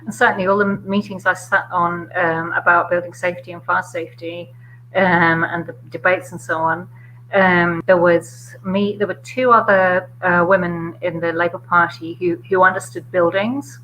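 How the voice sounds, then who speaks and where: An older woman with a London middle-class accent, chatting casually about politics with many fillers and quick laughs. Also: lowish voice, flat delivery, fairly bright tone, slight background noise, low-quality recording. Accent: London middle-class English. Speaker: guest, former MP.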